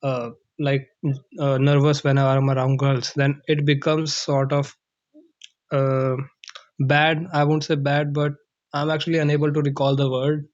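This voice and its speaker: Flat-voiced man on a muffled call. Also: a little high, dry recording. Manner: thoughtful, pauses to find words. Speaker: conversation partner. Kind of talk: casual conversation practice.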